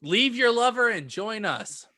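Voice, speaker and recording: A man co-hosting a podcast in casual comic chat, halting and offhand. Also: high-pitched, very animated, pushed and bright, no echo. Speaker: podcast co-host.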